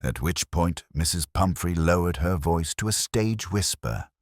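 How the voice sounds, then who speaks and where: Very deep-voiced male storyteller. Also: lively intonation, unhurried, no echo, clean studio sound. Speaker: narrator. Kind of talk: scripted literary mystery narration.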